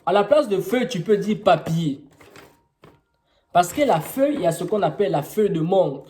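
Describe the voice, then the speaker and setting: Young Congolese man, French with Congolese accent, Kituba native speaker, warm voice, informal teaching with rhetorical questions. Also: sits high, lively intonation, normal speed, slight background noise. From Congo-Brazzaville. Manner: explanatory, with rhetorical questions and many fillers.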